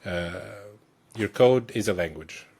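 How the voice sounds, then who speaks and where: A man with a low, slightly husky voice speaks as a podcast guest. He explains things thoughtfully and circles back with fillers and restarts as he works out his points. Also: lively intonation, a little slow, a little mellow. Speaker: guest, technical director. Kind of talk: conversational explanation.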